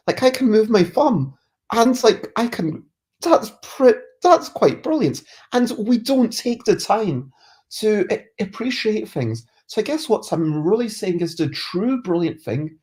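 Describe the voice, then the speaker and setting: Stuffed-up streamer with a cold, mellow tone, easygoing rambly chat. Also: mid-range voice. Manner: musing, easygoing, self-interrupting. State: congested with a cold. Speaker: streamer hosting a chat show. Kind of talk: casual rambling chat, reading viewer comments.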